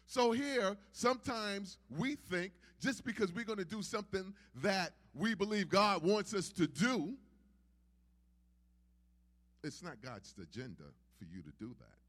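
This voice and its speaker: A man's voice: sits high, expressive, a little slow, fairly bright tone. A man preaching a sermon in an emphatic, repetitive preaching cadence. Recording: long hall reverb.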